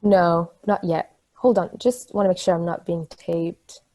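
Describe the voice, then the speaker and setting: Woman acting a patient, mellow-voiced friendly woman. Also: fairly low pitch, fairly even intonation. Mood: gentle, reassuring. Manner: reassuring, conversational, unhurried. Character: friendly, patient woman, gently encouraging newcomer. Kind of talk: stageplay table read.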